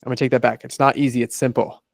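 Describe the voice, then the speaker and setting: A man's voice: mid-range voice, flat delivery, rapid-fire, creaky, no room sound, clean studio sound. A man, a life coach guest on a podcast interview, methodically laying out his process in numbered steps.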